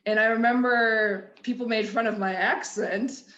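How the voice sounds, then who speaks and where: A woman's voice: on the high side, steady tone, normal speed, fairly bright tone, dull audio. Candid Midwestern woman, roomy sound. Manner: candid, rambling, with frequent restarts and laughs. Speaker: podcast guest. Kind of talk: personal storytelling in a conversation. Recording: roomy echo.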